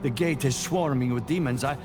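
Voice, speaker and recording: An actor playing a courteous, wry, self-preserving traveler in a video game, wordy and dramatic. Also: male voice, medium pitch, brisk, music in the background, close to an everyday voice. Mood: grateful, shaken.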